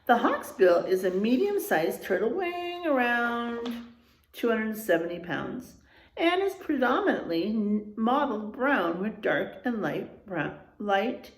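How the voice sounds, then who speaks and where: A woman teacher reading to young children in an expressive way, pausing for explanatory asides and checking in with her listeners. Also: a little high, slight background noise. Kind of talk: animated children's read-aloud with explanatory asides. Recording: echoey room sound.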